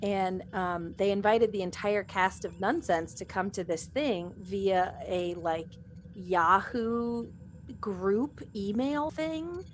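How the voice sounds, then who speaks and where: Female, mid-pitched, chatty anecdote teller who acts out quotes, light background noise. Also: slow. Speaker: podcast cast member. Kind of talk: casual anecdotal chat.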